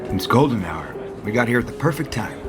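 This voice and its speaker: A man acting an earnest but guarded romantic lead in an audio soap opera, halting and dry in his delivery. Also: medium pitch, sing-song, lightly acted. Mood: tender, earnest. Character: earnest romantic father, guarded around intruders. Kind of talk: naturalistic soap opera dialogue. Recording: studio drama with music underneath. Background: music.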